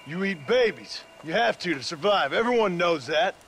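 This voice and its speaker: An actor playing a gruff, skeptical male cowboy in a video game, speaking in a dry, blunt, sarcastic way. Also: high voice, expressive, normal speed, a bit bright, a little hiss or hum. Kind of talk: video game cutscene acting.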